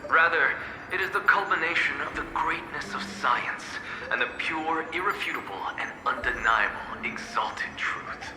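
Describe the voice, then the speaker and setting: An actor playing a haughty, exacting sage who lectures in a pompous, declamatory manner in a fantasy game. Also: male voice, mid-range voice. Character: haughty male scholar sage, theatrical, exacting and condescending. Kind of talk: dramatic fantasy game dialogue. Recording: reverberant echo with music behind.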